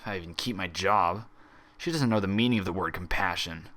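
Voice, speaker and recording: A man's voice: low-pitched, fairly quick, a bit bright. A man voicing a cowardly, grumbling stallion orderly in a story reading, whiny and nervous. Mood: bitter grumbling. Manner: muttering complaints, stammering when cornered.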